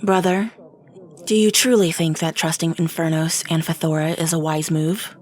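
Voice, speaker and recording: An actress playing a level-headed, devout celestial warrior in a fantasy audio drama, measured and earnest in her reasoning. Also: low-pitched, level tone.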